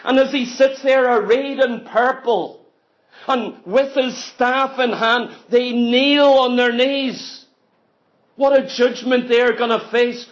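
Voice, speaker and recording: A man preaching an impassioned sermon, telling the story dramatically and pressing each point with emphatic repetition. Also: very high voice. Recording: dull, lo-fi sermon recording.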